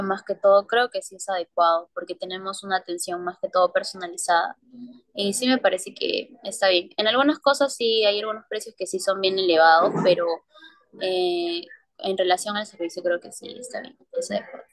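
A woman's voice: medium pitch, dry recording. A young woman giving her opinion in an online group discussion. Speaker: focus group participant. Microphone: video call.

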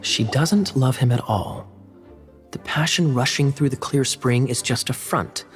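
A man's voice: mid-range voice, a little slow, faint music behind, natural voice. A man voicing a sly, charming young knight captain in a game, wry and teasing as he works through a riddle. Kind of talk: game dialogue.

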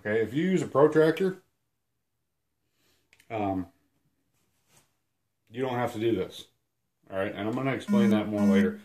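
Husky-voiced guy in his thirties with a cold, low voice, casual self-deprecating teacher talking to a camera at home. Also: normal speed, pauses often, a little mellow. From Illinois. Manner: rambling and self-deprecating, many fillers. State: hoarse from a cold, sniffling. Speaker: outdoors channel host. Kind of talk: casual whiteboard lesson. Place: small room at home, indoors.

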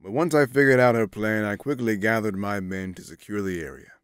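A grandfatherly male schemer played by an actor in an audio drama. He speaks softly and slyly in a low, dry voice, smug and condescending. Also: clean tone, no room sound, natural voice. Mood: polite, smooth. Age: older. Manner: soft, unhurried, condescending. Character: smug, snake-like grandfatherly male agent, soft-spoken schemer.

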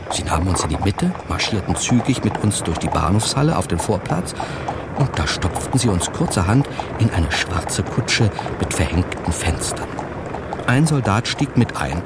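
An actor playing a wry, chatty reporter who narrates a radio drama in a conversational way. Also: male voice, deep voice. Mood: wry, resigned. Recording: loud outdoor vehicle ambience behind.

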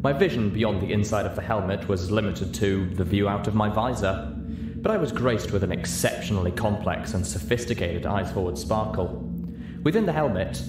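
A man with a fairly low voice narrates a first-person story from a script. The sound is roomy, with clear music playing behind him. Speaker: audiobook narrator.

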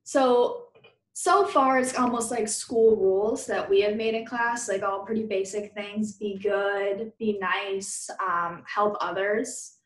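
A woman reading a storybook aloud to children like a teacher, expressive, with explanatory asides. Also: mid-range voice, reverberant, rough recording.

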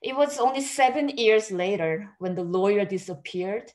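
A woman who is a native Korean speaker, reading a prepared research talk at a steady, measured pace. Her voice sits at a middle pitch for a woman and comes through a simple video-call microphone from a room at home. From South Korea. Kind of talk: read academic presentation.

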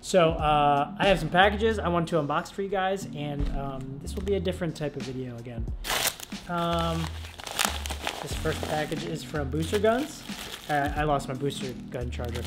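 A man's voice: fairly high pitch, normal speed. Laid-back young man vlogging at home. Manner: laid-back, rambling with many fillers. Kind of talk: casual vlog chat. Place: dim room at home, indoors. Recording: music playing behind.

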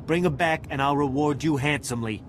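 Game role: male actor as bitter, pleading alien merchant, higher voice, formal and worked up, faint machine hum behind. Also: fairly quick, natural voice. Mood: indignant. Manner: agitated, indignant, formal diction. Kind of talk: dramatic video game voice acting.

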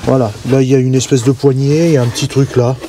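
A man giving a casual, halting walkthrough of a camper van, full of fillers as he points things out, recorded inside the van on a body-worn camera. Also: medium pitch, little pitch movement, warm tone, slight background noise. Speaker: vlogger touring a camper van.

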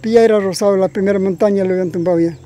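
An older man with a Peruvian accent gives a casual villager's testimony. His voice is soft-edged and dark, his phrasing plain and repetitive, with music behind him. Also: sits high, steady tone, racing. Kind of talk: casual oral recollection.

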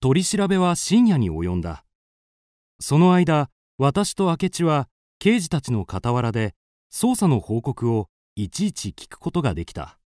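A man's voice: medium pitch, sing-song, measured pace, darkish tone, no echo, clean studio sound. An actor playing a curious man in his twenties who narrates a mystery radio drama. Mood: brooding, measured.

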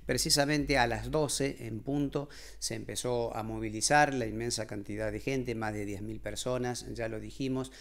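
A man in his fifties with an Argentine accent, reading the news in a formal, steady way from a television studio desk mic. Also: medium pitch, moderate pace, creaky, hardly any pauses. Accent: Argentine Spanish. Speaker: news presenter. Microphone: desk microphone.

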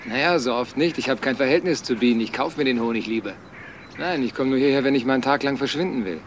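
A man's voice: mid-range voice, fast, natural voice. An actor playing a breezy, flippant, chatty businessman in a crime radio play. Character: flippant businessman, son of an eminent doctor. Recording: outdoor ambience, faint noise.

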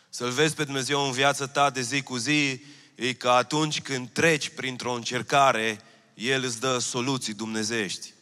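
A man's voice: medium pitch, pushed and bright, clear, resonant tone. A man in his thirties preaching a sermon from a church stage with a handheld mic, earnest and repeating his key phrases. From Romania. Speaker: pastor. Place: church stage, large hall. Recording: long hall reverb.

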